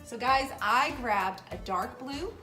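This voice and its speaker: A woman's voice: fairly high pitch, animated, takes it slowly, over loud music. A woman with an American accent hosts a children's craft show, explaining steps casually with playful banter. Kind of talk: casual step-by-step craft demonstration.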